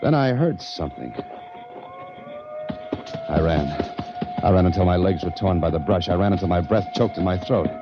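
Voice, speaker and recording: A man's voice: deep voice, expressive, moderate pace, pauses often, over music. An American actor playing a hunted innocent man on the run in a 1940s radio mystery, terse and desperate, with clipped hard-boiled delivery. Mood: tense, haunted. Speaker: lead actor and first-person narrator. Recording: muffled, noisy 1940s radio recording.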